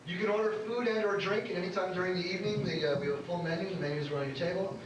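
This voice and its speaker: A man's voice: sits high, fairly even intonation, rapid-fire, over music. A middle-aged man hosting a comedy show, rambling and joking as he introduces it informally to a live audience. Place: comedy club stage. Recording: echoey club recording from far off. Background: audience responses.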